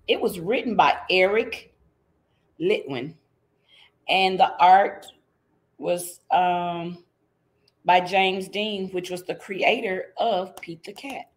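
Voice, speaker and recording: Middle aged lady doing a kids book read aloud, takes it slow, teachery, asks the listeners questions. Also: medium pitch. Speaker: read-aloud storyteller for young children. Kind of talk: interactive children's picture book read-aloud. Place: indoors in front of a green screen.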